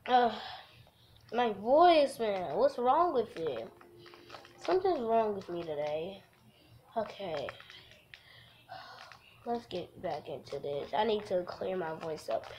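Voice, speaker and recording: A woman livestreaming a visual novel, reading the text aloud haltingly and adding goofy, casual asides. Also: medium pitch, expressive, warm tone, a little hiss or hum, low-quality recording.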